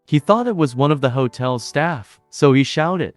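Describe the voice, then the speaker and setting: Man narrating, scripted story, steady delivery, dry studio voice, faint music. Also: on the high side, moderate pace, no echo.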